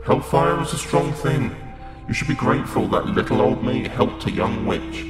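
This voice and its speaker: A man's voice: medium pitch, animated, moderate pace, close to an everyday voice. A man playing a sadistic otherworldly villain who feeds on mortals in an audio drama, mock-polite and taunting as he draws out his words. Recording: echoing voice under loud music.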